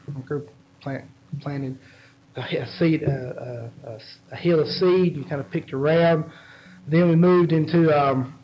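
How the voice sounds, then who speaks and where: Man with a stuffy head cold. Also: on the high side. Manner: halting, frequent ums and restarts. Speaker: agricultural researcher presenting. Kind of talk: conference talk with slides. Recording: dull lo-fi audio.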